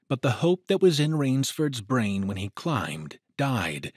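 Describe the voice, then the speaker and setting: A man narrating an adventure story in a dramatic, deliberate, suspenseful way. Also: mid-range voice, slightly rough, no room sound, clean studio sound.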